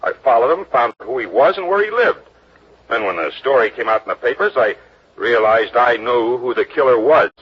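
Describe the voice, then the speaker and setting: An actor playing an opportunistic, street-wise blackmailer with slangy, conniving talk in a classic radio crime drama. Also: male voice, medium pitch, lively intonation, brisk, breathy edge. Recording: muffled old radio recording.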